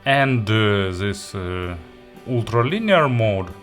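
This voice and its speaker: A man who is not a native English speaker gives an unscripted product review, haltingly, often searching for words and rephrasing. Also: on the low side, animated, fairly bright tone, faint music behind. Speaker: audio gear reviewer and host. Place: indoor studio.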